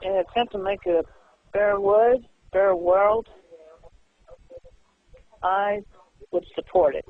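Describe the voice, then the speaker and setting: A man talking casually on the phone in a halting, repetitive way. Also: high-pitched, no echo. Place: telephone call. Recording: tinny narrowband phone line.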